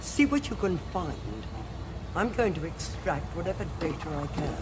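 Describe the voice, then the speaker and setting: An actress playing an imperious, coolly self-satisfied cardinal in a sci-fi audio drama, deliberate and commanding, often musing to herself. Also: female voice, lowish voice, sing-song, noisy background. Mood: brisk, commanding.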